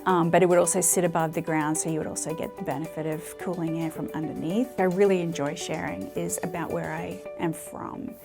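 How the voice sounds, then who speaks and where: A woman's voice: lowish voice, gravelly. A woman from Australia who coordinates historic preservation, telling her citizenship story conversationally with many fillers. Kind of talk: personal story interview.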